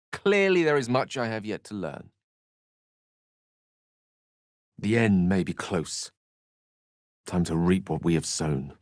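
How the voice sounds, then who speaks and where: A man's voice: fairly low pitch, fairly bright tone, no room sound, clean studio sound. A man voicing a courteous, self-assured wizard companion in a video game, articulate and wryly theatrical. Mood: measured. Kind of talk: video game character voice lines.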